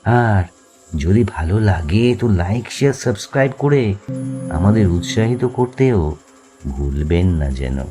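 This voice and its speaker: A man tells a horror story in a low, warm, dark voice. His delivery is very slow and measured, with dramatic pauses for suspense. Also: expressive. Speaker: narrator, host. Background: faint music.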